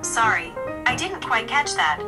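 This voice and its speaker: A female text-to-speech assistant, fairly high in pitch, played through a phone speaker. It gives polite, scripted replies while loud music plays. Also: very animated, unhurried. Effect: synthetic voice from a phone speaker.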